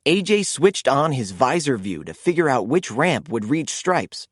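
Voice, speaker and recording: A man narrating a children's storybook in an expressive, animated read-aloud style. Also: medium pitch, a little slow, husky, no room sound, clean studio sound.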